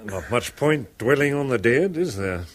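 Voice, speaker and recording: Flippant, husky upper-class cynic. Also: male voice, mid-range voice, expressive, unhurried. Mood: blithe. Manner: breezy, sardonic, casually offhand. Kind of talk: 1970s radio drama acting.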